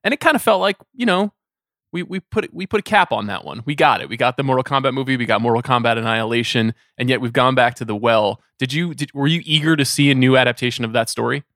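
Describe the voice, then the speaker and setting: A man's voice: medium pitch, fast talker, a bit bright, no room sound. Male podcast host, close dry sound. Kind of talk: conversational podcast discussion.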